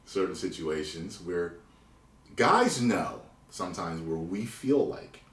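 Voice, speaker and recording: Casting note: male host, very low voice, casual and explanatory, recorded far off in an echoey room. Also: lively intonation, slow pace, a bit bright. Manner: loose, explaining, swears casually. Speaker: channel host. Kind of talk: casual chat. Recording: roomy and distant with faint noise.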